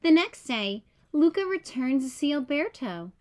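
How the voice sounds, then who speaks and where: A woman reading a children's picture book aloud as a storyteller, in clear, simple, expressive storybook delivery. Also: high-pitched, unhurried.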